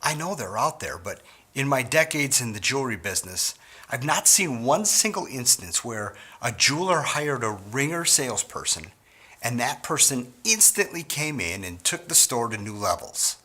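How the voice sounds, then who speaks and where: A middle-aged man from Wisconsin giving a scripted sales training talk to store owners in an earnest, measured way. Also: mid-range voice, big pitch swings, sharp tone, slightly rough.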